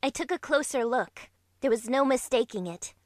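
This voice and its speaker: A woman's voice: high-pitched, animated, moderate pace, no room sound, studio quality. A woman playing an anxious, emotional young survivor, narrating a scripted drama in the first person with earnest feeling. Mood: tense, heartfelt. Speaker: narrator and lead character in an amateur fan dub. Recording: music playing behind the voice.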